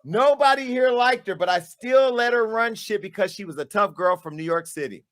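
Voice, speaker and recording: A man's voice: sits high, moderate pace. Sassy man calling someone out. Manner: sassy, dramatic, shifting between hushed and loud. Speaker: media company owner and host. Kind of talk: on-camera callout monologue.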